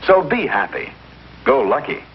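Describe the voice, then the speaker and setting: Male 1950s TV pitchman, emphatic and polished, animated delivery, muffled vintage sound. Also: unhurried. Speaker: commercial announcer. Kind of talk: 1950s TV commercial narration. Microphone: voice-over for filmed commercial. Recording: dull, noisy 1950s broadcast recording.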